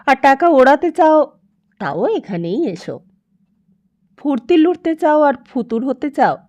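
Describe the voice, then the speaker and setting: A woman narrating a literary story as an audiobook, in an expressive, emphatic, dramatic way. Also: high voice, measured pace, dull audio.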